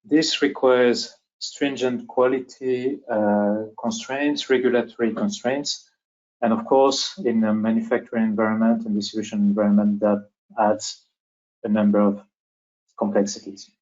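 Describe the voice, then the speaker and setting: A man with a French accent presenting a business webinar as moderator, speaking haltingly with repeated words, on dull webinar audio. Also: lowish voice, flat delivery, a little mellow. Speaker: webinar moderator and company executive.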